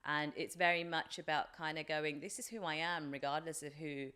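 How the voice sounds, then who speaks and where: A woman in her forties with a British accent and a low-pitched voice. She speaks as a podcast guest, reflective and informal. Her phrasing is hesitant, circling through small filler phrases as she explains herself. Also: fairly even intonation, moderate pace, sharp tone, smooth. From England, from age three. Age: 40s. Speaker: podcast guest, life coach. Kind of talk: reflective personal interview.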